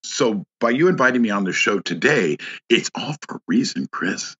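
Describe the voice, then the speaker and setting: A man who is a guest on a podcast, telling a personal story in casual conversation, halting with many restarts and fillers. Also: on the low side, big pitch swings, fairly bright tone, breathy edge, highs cut off.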